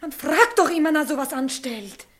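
An actress playing a shrill, defiant, quarrelsome wife in a drama, with combative dialect speech. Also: female voice, lively intonation, normal speed. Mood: defiant, furious. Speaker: actor. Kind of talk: stage-style radio drama dialogue.

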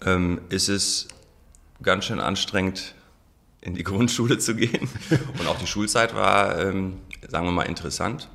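A man's voice: sits low, normal speed, vocal fry, fairly bright tone. A man in his forties, a sociologist giving a radio interview, thinking aloud with frequent ums and restarts. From Germany. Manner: hesitant, many ums, restarts. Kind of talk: radio interview, thoughtful answers.

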